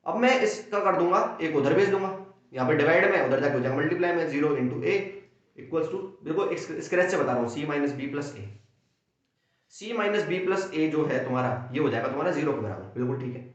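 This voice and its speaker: A young man from India teaching a maths exam-prep lesson with a sore throat, energetic and playing both himself and his students. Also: a little high, moderate pace. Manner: energetic, acts out students' questions and answers himself. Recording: echoey room, dull lo-fi sound.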